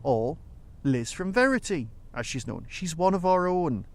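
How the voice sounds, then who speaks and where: A man's voice: a little high, big pitch swings, a little slow, no room sound. A man hosting a solo podcast in a casual, rambling way, with wry, self-deprecating asides. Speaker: podcast host and reviewer.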